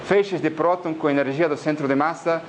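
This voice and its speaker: A man's voice: a little high, steady tone, moderate pace, slight background noise. A man from Argentina with an Argentine Spanish accent, giving a physics colloquium talk in Portuguese, hesitant with many filler sounds. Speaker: physics lecturer. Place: lecture hall.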